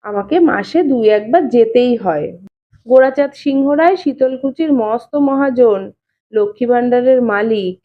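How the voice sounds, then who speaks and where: A woman's voice: fairly high pitch, normal speed, lightly acted. A woman reading an audio story as a nosy, concerned older brother-in-law, coaxing and questioning in a familiar tone. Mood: probing. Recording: thin phone-like narrow-band sound.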